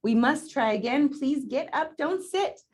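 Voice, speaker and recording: A woman's voice: sits high, lively intonation, measured pace, darkish tone, voice pushed up. A woman voicing an eager male red paint splatter from a storybook, upbeat and coaxing as he rallies his team. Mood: encouraging.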